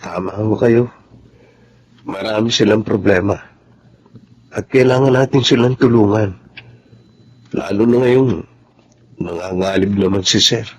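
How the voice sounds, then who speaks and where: An actor playing a humble, earnest family driver in a Filipino radio drama. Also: male voice, lowish voice, measured pace, long pauses, slight background noise, close to an everyday voice. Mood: earnest, hesitant. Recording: slightly dull sound, soft music.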